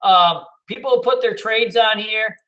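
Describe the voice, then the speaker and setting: A middle-aged man teaching day trading in a casual, loud and blunt way, hooting and swearing as he talks over a screen share. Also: sits high, a little slow, muffled recording. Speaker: trading educator.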